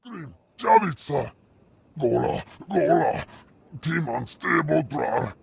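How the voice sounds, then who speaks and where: A man voicing a gruff, guttural, menacing alien gangster in an invented tongue for a video game. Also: on the high side, very animated, slow pace, a little hiss or hum, full character voice. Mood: angry, threatening. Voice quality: gravelly, guttural. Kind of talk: video game creature acting.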